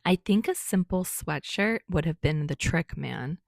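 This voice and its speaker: A woman's voice: low-pitched, lively intonation, measured pace, vocal fry, no room sound, studio quality, full character voice. A woman voicing a cheerful, playful young male barista with a teasing, sing-song manner in a story reading.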